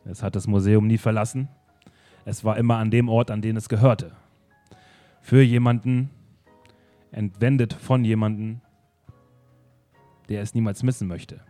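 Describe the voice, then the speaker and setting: Low calm man, mellow tone, music behind. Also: normal speed, pauses often. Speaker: improv actor playing the detective. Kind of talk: improvised radio play.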